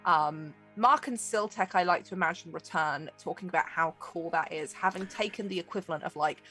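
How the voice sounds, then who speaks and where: A woman's voice: lowish voice, fairly quick, sharp tone, faint music behind, poor audio. A woman running a tabletop game as game master, improvising narration in loose, meandering phrases.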